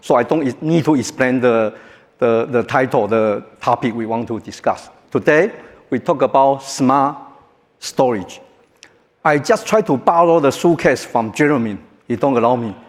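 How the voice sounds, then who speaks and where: Taiwanese-accented man in a reverberant hall. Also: mid-range voice. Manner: joking, halting, non-native phrasing. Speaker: keynote speaker. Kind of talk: conference keynote talk. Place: large conference hall.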